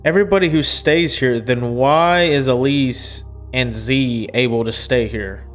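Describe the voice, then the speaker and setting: Man reading aloud, clear smooth voice. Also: mid-range voice, measured pace, faint music behind. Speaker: gamer reading a visual novel aloud. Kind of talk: expressive read-aloud narration with casual commentary.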